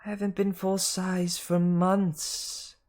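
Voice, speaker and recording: A reader doing a higher-pitched, chiding female snake. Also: flat delivery, takes it slowly, a little mellow, close to an everyday voice. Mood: chiding, bickering. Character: scolding, bickering female talking snake, secretary-like.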